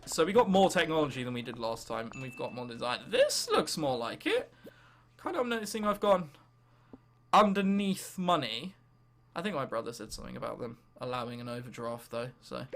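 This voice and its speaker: A man in his late teens with an English accent giving casual, sarcastic gameplay commentary, self-mocking and freely sweary, with an excitable delivery. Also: mid-range voice. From England. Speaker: gaming video host.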